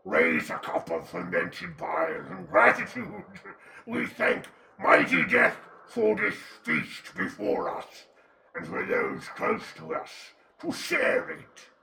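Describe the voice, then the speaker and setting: A man voicing a horrid ghoul leader, grandly morbid, with a twisted snarl and drawn-out, grandiose delivery in a dramatized horror-comedy. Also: high-pitched, huge pitch swings, gravelly, pushed and bright, heavily acted. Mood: gleefully grandiose.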